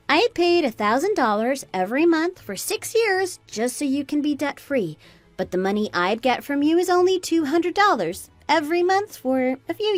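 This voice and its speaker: Casting: fed-up young wife and mother, played by a woman, fairly high voice, argumentative, radio drama, dry sound, faint music beneath. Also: dry recording. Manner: argumentative, sarcastic, pointed. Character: indignant, fed-up young daughter-in-law and mother. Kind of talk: scripted radio drama dialogue.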